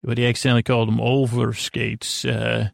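A man hosting a sleep podcast, rambling in a halting, meandering way with self-deprecating, mildly silly wordplay. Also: medium pitch, little pitch movement, creaky, dry recording, studio quality. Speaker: podcast host. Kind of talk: rambling bedtime monologue, loose storytelling.

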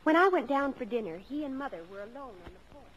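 High-pitched, defiant teenage daughter. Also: female voice, expressive, fast, slight background noise, poor audio. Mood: wry, reflective. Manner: earnest, melodramatic, defiant. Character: rebellious, self-pitying teenage eldest daughter, narrating her memories. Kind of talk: 1950s radio acting. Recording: old radio broadcast with music.

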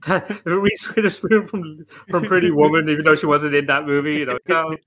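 A man's voice: fairly high pitch, fairly even intonation, fairly quick, a bit bright. A man on a podcast panel chatting casually with a dry, joking delivery. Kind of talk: casual banter.

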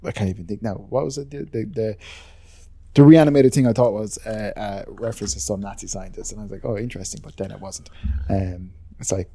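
A man's voice: lowish voice, fast, mellow, slightly rough. A man with an Irish accent, co-hosting a podcast in casual, rambling chat that is full of swearing and self-deprecating asides. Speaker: podcast co-host.

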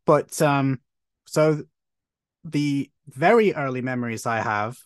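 A man's voice: medium pitch, slow, dry recording, studio quality. A man, telling stories eagerly as a podcast guest. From Cornwall, England. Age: 30s. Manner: animated storytelling, frequent restarts. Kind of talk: casual conversation.

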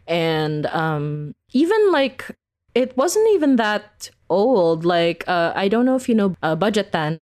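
A woman's voice: on the low side, a little mellow. A woman talking casually as an interview guest, informal and giggly, peppering her sentences with "like". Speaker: interview guest, podcast creator.

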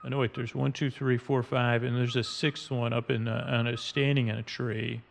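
A man hosting a podcast, talking in a halting, meandering, unscripted way as he describes things in front of him. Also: mid-range voice, little pitch movement, vocal fry, a little hiss or hum. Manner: halting, meandering, spells out odd words. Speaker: podcast host. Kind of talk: rambling, unscripted narration describing game maps and artwork. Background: music bed and sound effects.

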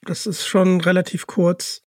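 A man with a notably high voice hosts a relaxed interview. He speaks appreciatively and pays compliments. The recording is dry, without room sound. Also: measured pace, no room sound. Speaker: interviewer, host. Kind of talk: casual interview chat.

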